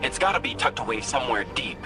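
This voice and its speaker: A man voicing an eager, caring small robot helper in a video game, with an expressive delivery. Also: medium pitch, quick pace, music in the background, lightly acted. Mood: helpful, concerned.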